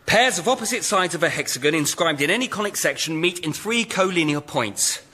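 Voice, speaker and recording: An actor playing a touchy, defensive mathematician in radio sketch comedy, pedantic and easily exasperated. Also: male voice, fairly high pitch, lively intonation, fairly quick, heavy background noise, close to an everyday voice. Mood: reserved, hesitant. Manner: wounded, exasperated, correcting.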